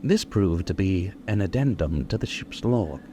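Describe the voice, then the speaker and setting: Man playing solemn doctor narrator, low pitch, dramatic, formal, old radio style, faint noise. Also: animated, moderate pace, dark tone. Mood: solemn, ominous. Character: solemn doctor presenting evidence, narrating ominously.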